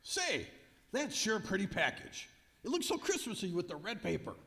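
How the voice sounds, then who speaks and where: A man's voice: high-pitched, big pitch swings, moderate pace, bright, forceful tone, exaggerated voice. A man playing an eager, chatty valet in an old-time radio comedy on stage. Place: museum performance space, live before an audience. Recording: echoey hall, live stage performance.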